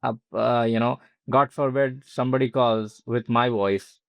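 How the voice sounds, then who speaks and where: A man with an Indian accent talking casually and haltingly as a podcast host, with frequent asides. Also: medium pitch, steady tone, gravelly, no echo.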